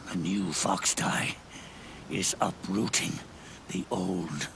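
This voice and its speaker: An actor playing a dying old soldier in a video game, weary and regretful, with a gravelly, breathy voice and halting, solemn phrases. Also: male voice, deep voice, fairly even intonation, a bit bright, slight background noise, exaggerated voice. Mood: weary, explanatory. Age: older. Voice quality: gravelly, breathy, often fading to a whisper. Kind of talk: cinematic video game acting. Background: music.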